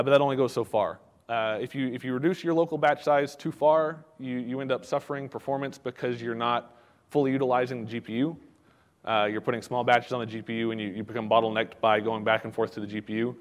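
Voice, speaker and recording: Man lecturing in a reverberant hall. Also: medium pitch. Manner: conversational, many "uh" fillers and restarts. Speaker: conference speaker. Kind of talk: technical conference talk. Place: conference hall.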